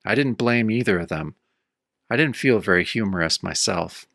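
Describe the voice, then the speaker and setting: A man's voice: on the low side, animated, normal speed, vocal fry, fairly bright tone, no room sound, studio quality. An actor playing a battered, wry tough-guy hero, narrating his own pulp horror tale in a radio-style audio drama. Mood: wry, weary. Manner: wry, hard-boiled first-person storytelling.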